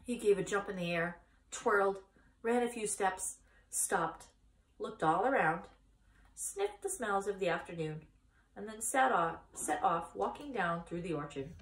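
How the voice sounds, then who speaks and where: A woman in her thirties reading a children's story aloud in a deliberate, expressive manner. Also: medium pitch, echoey room, low-quality recording. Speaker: story reader. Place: small indoor room against a bare wall.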